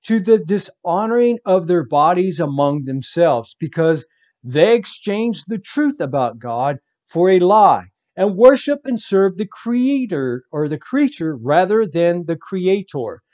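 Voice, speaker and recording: A man's voice: high voice, darkish tone. A man preaching from home, reading scripture aloud and commenting on it with emphasis.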